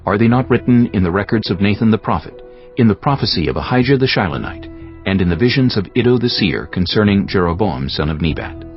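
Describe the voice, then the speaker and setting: Deep-voiced man narrating scripture. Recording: slightly dull sound, music behind.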